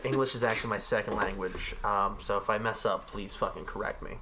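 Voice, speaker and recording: A man's voice: lowish voice, steady tone, a little fast, noisy background. A man talking in a casual podcast chat, ranting with emphasis and frequent swearing. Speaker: podcast guest.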